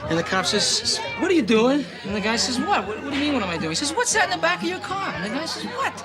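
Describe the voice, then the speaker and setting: A giddy, squealing joke-teller. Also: male voice, very high-pitched, rapid-fire, over a noisy crowd. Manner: excited joke-telling, giggling. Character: giddy man telling a joke at a loud party. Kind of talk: film dialogue.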